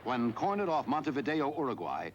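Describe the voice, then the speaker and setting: A man reading scripted historical newsreel narration in a measured, formal delivery. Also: medium pitch, very animated, dull audio, a little hiss or hum. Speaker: documentary narrator.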